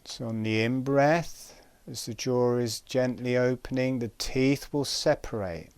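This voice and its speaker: A man giving guided breathing instruction in a calm, gentle, measured way, pausing between short phrases. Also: medium pitch, clear, resonant tone, no room sound.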